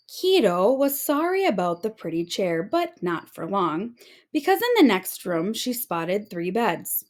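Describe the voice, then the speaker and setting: A woman narrating a children's storybook aloud in an expressive storytelling manner. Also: medium pitch. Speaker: storybook reader.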